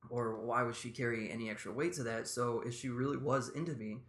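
A man's voice: mid-range voice, steady tone, fairly quick, fairly bright tone. A man hosting a podcast, talking casually and explaining his views at length. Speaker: podcast host. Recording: roomy echo.

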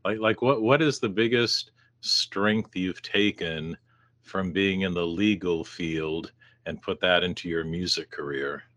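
A man's voice: low voice, a little slow, fairly bright tone, no echo. A man interviewing a musician in a casual, friendly, easygoing way.

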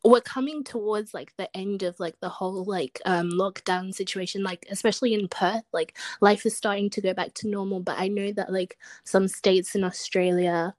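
A woman's voice: mid-range voice, steady tone, moderate pace, few pauses, a little mellow. A young woman from Zimbabwe, a guest on a casual podcast, who talks chattily and fills her sentences with "like.". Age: young adult. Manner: chatty, says "like" constantly. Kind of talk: casual interview.

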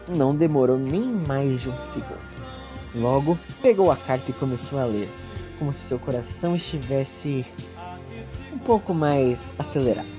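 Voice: medium pitch, moderate pace, over music. A narrator telling a scripted story in a theatrical, self-mocking way with playful asides.

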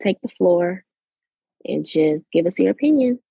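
A woman in her twenties from Florida co-hosting a podcast, upbeat and quick to laugh. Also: lowish voice, expressive, vocal fry, dark tone, breathy edge, dry recording. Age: 20s. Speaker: podcast co-host. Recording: dry, muffled lo-fi sound.

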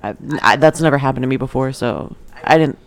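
A woman's voice: rumbling low, fairly quick, background noise. A woman co-hosting a casual podcast with friends, giggling often as she tells stories. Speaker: podcast co-host. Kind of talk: casual chat.

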